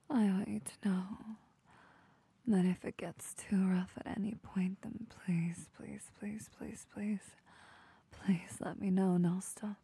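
A young woman whispering softly and soothingly into a close microphone in an intimate ASMR roleplay. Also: lowish voice, breathy edge. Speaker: audio roleplay performer.

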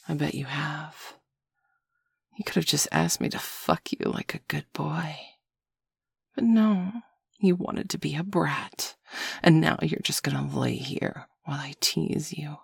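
A woman performing a whispered audio roleplay with a dominant, teasing manner that drifts between soft speech and breathy whispers. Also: low-pitched, no echo.

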